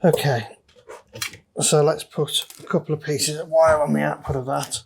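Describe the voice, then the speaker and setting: A man's voice: a little high, flat delivery, moderate pace, gravelly, slightly rough. A man giving casual, matter-of-fact tutorial commentary, talking through each step as he works on electronics. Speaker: DIY electronics presenter. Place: indoor electronics workbench.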